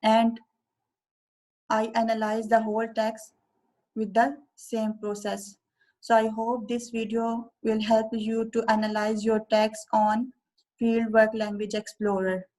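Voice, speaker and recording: Young Urdu-speaking woman, fairly high voice and flat delivery; walks through a software demo step by step. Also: low-quality recording. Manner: slow, careful step-by-step explaining. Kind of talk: screen-recorded software tutorial.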